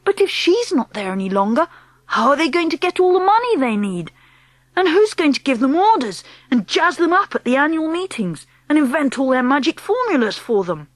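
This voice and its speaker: A woman voicing a young boy who has been turned into a mouse, eager and anxious, pleading and excitable. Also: high-pitched, moderate pace, no echo, pitched above the performer's own voice.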